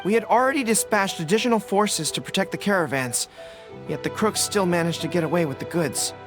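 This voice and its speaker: A man's voice: high voice, a little fast. A man playing a dutiful young army general in a video game, speaking formally and politely with a commanding manner. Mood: grave. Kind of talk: English video game voice acting. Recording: background music under the dialogue.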